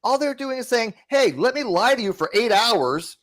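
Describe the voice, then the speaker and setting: A man's voice: very high voice, animated, normal speed, a bit bright. A man hosting a casual livestream, rambling and blunt, swearing freely as he talks. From California. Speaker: streamer host.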